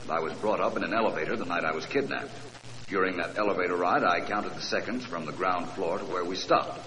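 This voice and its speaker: Suave radio detective, 1940s: deep, forceful, dry and self-assured. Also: male voice, fast. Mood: brisk, explanatory. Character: cool, self-assured male private detective. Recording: noisy 1940s radio recording.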